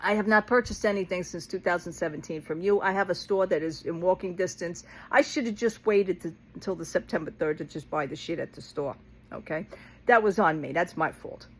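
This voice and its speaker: A woman with a slightly low-pitched voice vlogs in a casual, rambling way. She is blunt and exasperated, speaks colloquially, and tells her story by replaying who said what. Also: brisk, poor audio. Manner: blunt, exasperated, colloquial, re-enacting both sides of conversations. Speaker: vlogger talking to followers.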